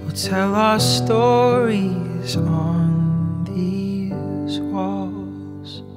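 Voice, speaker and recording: A woman singing slowly in a gentle song style, with music. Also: mid-range voice, steady tone. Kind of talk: slow sung lullaby-like song. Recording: loud music over the voice.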